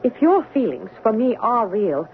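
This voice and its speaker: An actress playing an earnest, proud young English governess in a radio drama, polite and restrained with deep feeling underneath. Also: female voice, big pitch swings, unhurried. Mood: agitated, proud. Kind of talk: 1970s radio drama acting. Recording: tinny, faintly noisy 1970s radio broadcast.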